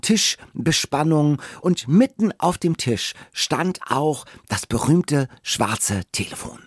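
A middle-aged man co-hosting a podcast, talking in a playful, excitable, conversational way. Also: on the high side, slow pace, background noise. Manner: playful, excitable, given to acting out lines. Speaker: podcast co-host. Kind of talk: enthusiastic conversational podcast talk.

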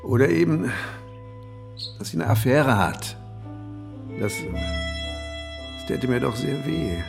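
An actor in a German radio play, playing an anxious, jealous man of 59 who speaks haltingly and with wry self-irony. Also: medium pitch, expressive, gravelly, music in the background, exaggerated voice. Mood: hesitant, confiding. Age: 50s. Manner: hesitant, stammering, self-ironic.

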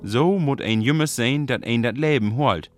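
A man with a Northern German, Low German accent narrating a scripted radio feature as its reporter. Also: measured pace, faint music behind. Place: radio studio.

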